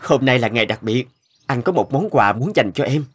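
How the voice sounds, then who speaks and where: An actor playing a gentle, worried young husband in a cartoon drama, speaking in an earnest, caring, pleading manner. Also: male voice, mid-range voice, lively intonation, normal speed, slight background noise, natural voice. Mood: gentle, consoling. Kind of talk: dubbed cartoon drama acting. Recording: studio voice under loud music.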